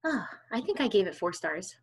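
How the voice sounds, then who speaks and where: A woman's voice: medium pitch, sing-song, moderate pace, vocal fry, husky. A woman co-hosting a casual book discussion, thinking aloud and repeating phrases as she talks. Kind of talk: casual chat.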